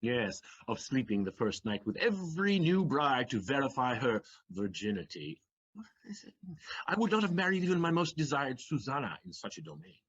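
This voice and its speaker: An actor playing Figaro, a witty, excitable young manservant, in a stage audio play, speaking in a flowery, theatrical manner. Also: male voice, mid-range voice, breathy edge. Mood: indignant. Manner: flowery, theatrical, quick-witted. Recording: dull lo-fi stage recording.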